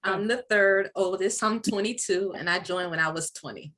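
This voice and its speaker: A woman's voice: medium pitch, normal speed, fairly bright tone, a little hiss or hum. A woman in her early twenties talking casually as a panelist on a group call. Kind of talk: casual live call talk.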